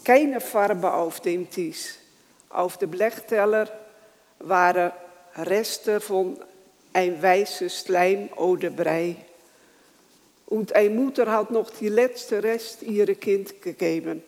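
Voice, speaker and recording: Slow careful worship leader praying and reading scripture, halting wording, big echoey church hall. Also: mid-range voice, a little mellow. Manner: slow, halting delivery with grammar slips.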